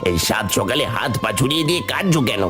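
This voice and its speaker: A man voicing a clever, helpful but bossy monkey in a cartoon fable, husky and chiding as he gives instructions. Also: medium pitch, sing-song, fast talker, lightly acted. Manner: chiding, know-it-all, instructive. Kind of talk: animated children's fable dialogue. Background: music.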